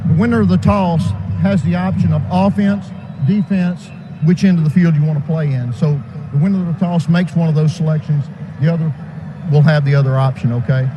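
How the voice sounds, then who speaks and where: A man's voice: a little high, fairly even intonation, a little fast. A man working as a football referee, formally announcing penalties and rules. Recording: muffled stadium microphone under loud noise. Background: loud stadium noise.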